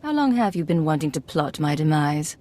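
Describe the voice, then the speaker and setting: An actress playing a self-possessed imperial secret agent in a game, speaking in a composed, crisp, dry manner. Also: female voice, low voice, sing-song, brisk, warm tone. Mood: cool, dry. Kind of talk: video game drama acting.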